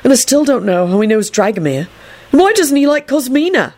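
A woman acts out a melodramatic young man from a storybook, pitched high and swooping wildly. He complains in a whiny, indignant, theatrical way and gets exasperated easily. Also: sing-song, moderate pace. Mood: gloomy, aggrieved.

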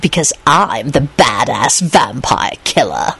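A woman's voice: lowish voice, lively intonation, normal speed, a bit bright. A woman voicing an insolent male werewolf wizard who drawls his taunts with cool amusement. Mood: menacing, gloating.

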